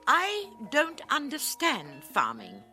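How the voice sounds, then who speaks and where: An actress in a 1980 radio drama playing a quarrelsome, wheedling young widow with an insistent, theatrical manner. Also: female voice, high-pitched, huge pitch swings, takes it slowly, bright, forceful tone, faint music behind, full character voice. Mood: aggrieved.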